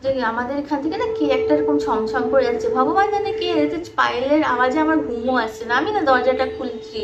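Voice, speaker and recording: A woman's voice: sits high, quick pace, muffled recording, close to an everyday voice. A woman voicing a stubborn, irritated young girl in an audio ghost story, complaining in a conversational, defiant way. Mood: annoyed. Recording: roomy echo, faint background noise.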